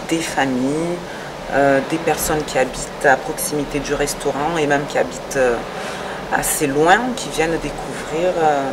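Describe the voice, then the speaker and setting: Woman, low voice, conversational, halting phrasing, distant mic, loud background noise. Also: reverberant. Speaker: restaurant owner. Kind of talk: on-camera interview, explaining her project. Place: restaurant terrace, dining room and working kitchen.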